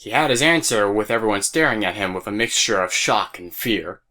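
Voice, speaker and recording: A man's voice: on the low side, lively intonation, fairly quick, bright, forceful tone. A man narrating a scripted story as the narrator of an audio drama. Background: music.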